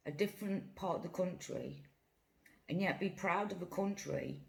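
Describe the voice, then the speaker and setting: Blunt, gravelly-voiced vlogger. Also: mid-range voice, rough recording. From Britain. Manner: blunt, animated storytelling, acts out both sides of conversations. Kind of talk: casual chat while eating. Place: small indoor room with brick-pattern wall, seated at a table.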